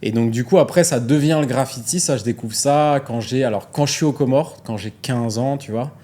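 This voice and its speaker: A man's voice: medium pitch, a little fast. A man, a guest chatting casually and joking in an interview. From France. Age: 40s. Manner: relaxed, joking, says "tu vois" a lot. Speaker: guest artist.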